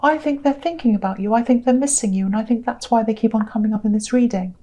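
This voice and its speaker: A woman with a tired, croaky voice giving a gentle, rambling tarot reading to her channel's viewers, often saying "you know" and "um". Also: on the high side, fast. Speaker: tarot reader. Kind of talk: casual tarot reading monologue.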